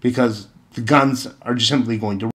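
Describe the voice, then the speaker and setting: Male commentator, medium pitch, informal retelling and opinion, sarcastic emphasis, exasperated rhetorical questions. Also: fairly even intonation, normal speed, fairly bright tone. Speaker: video commentator.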